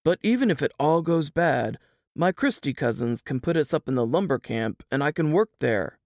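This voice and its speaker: A man playing a protective, plain-spoken Southern piano player in a dramatized audiobook, with folksy period dialect and a creaky voice. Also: fairly high pitch, lively intonation, normal speed, a little mellow, no room sound, studio quality. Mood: determined, hopeful.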